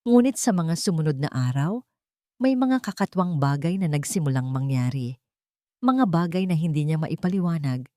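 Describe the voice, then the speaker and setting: A woman's voice: low voice, dark tone, no room sound, clean studio sound. A woman with a Filipino accent narrating a dramatic romance story from a script as a storyteller.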